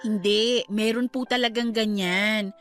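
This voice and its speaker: A woman playing a caring, excitable young niece, polite and emphatic, in a radio drama. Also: medium pitch, unhurried, faint music behind, close to an everyday voice. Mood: reassuring. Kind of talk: Tagalog radio drama acting.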